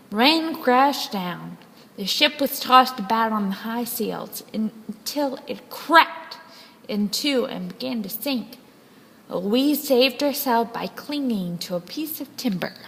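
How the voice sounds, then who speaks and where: A woman reading a children's story aloud in a dramatic, deliberate storytelling manner. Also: on the high side, expressive, a bit bright, slight background noise. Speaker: storybook reader. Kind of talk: scripted children's story narration, read aloud expressively. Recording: long hall-like reverb.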